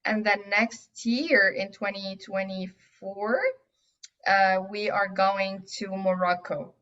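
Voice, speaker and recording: Woman, first language Arabic, from Egypt, unhurried halting speech, muffled lo-fi sound. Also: mid-range voice, little pitch movement, a bit bright. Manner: hesitant, many fillers and restarts. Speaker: guest aromatherapy teacher. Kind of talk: casual interview answers. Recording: dull lo-fi audio.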